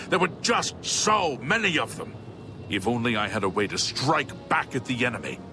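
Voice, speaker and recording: An actor playing a weary but determined city guard captain in a fantasy video game, commanding and exasperated. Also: male voice, mid-range voice, creaky. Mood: anguished.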